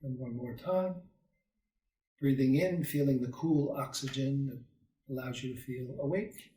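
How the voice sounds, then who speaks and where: A man teaches a guided meditation at a very unhurried pace. He speaks calmly and soothingly in short phrases with long pauses, at a medium pitch. The sound is echoey, as if the microphone sits some way off. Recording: roomy echo, distant microphone.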